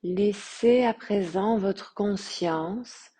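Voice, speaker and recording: Woman, yoga teacher, guided relaxation, soft breathy voice, dark mellow tone, very slow, calm, measured pauses. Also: medium pitch. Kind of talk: guided meditation.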